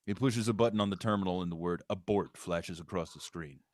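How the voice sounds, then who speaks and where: Low-pitched man narrating a screenplay, very dry. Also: a little fast, a little mellow, no echo. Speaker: stage-direction narrator.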